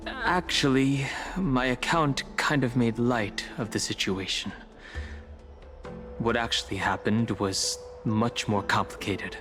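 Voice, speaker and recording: A man playing a serious, level-headed young adventurer in a fantasy game, reserved, earnest and quietly caring as he talks. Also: lowish voice, natural voice. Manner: measured, earnest, reserved, with sighs. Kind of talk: scripted fantasy game dialogue.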